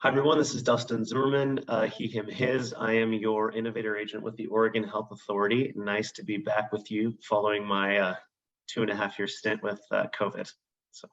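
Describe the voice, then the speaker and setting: A man from a state health agency introducing himself remotely, measured with fillers. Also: on the low side, level tone, fairly bright tone. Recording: dull lo-fi remote audio.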